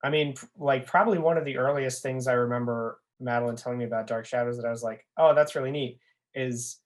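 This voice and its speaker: A young man with a mid-pitched voice speaks as a guest on a podcast. His delivery is casual and keen, like someone sharing a favorite interest. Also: steady tone, quick pace. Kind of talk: casual chat.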